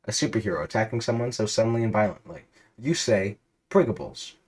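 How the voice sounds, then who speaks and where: A man's voice: lowish voice, quick pace. A man narrating an absurd interactive story aloud in a theatrical, emphatic way. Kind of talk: dramatic read-aloud of absurd interactive fiction.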